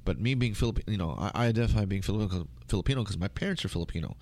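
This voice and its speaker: Low-pitched, rambling American man. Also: lively intonation, very fast, darkish tone. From United States. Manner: rambling, slangy. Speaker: interview guest. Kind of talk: casual conversation.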